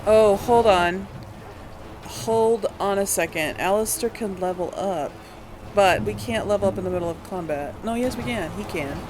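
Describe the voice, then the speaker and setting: A man's voice: high-pitched, normal speed, darkish tone, slight background noise, poor audio. A man giving casual gameplay commentary, thinking aloud as he hosts a let's play. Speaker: gamer, let's play host.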